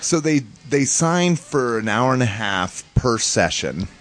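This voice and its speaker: A man from the United States chatting casually as a podcast host, in a rambling, halting, informal way. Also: medium pitch, very animated, a bit bright. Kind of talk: casual chat, recounting a fan convention.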